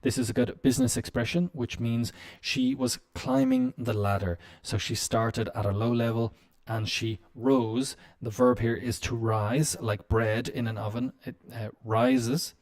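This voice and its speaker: A man teaching business English on a live stream, explaining slowly with many "um"s and "uh"s. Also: medium pitch, a little mellow. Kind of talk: live-stream language lesson.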